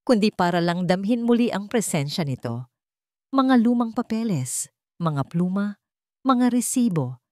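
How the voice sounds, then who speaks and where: A woman reading a scripted, dramatic love story in a measured, solemn storytelling manner. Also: lowish voice, a little mellow, dry recording, studio quality. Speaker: story narrator.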